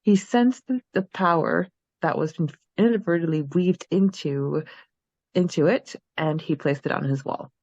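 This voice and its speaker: A woman's voice: on the low side, unhurried, a little mellow, no echo, highs cut off. A woman co-hosting a podcast, chatting casually and conversationally about a book, with a giggly manner. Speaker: podcast co-host. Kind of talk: casual book discussion chat.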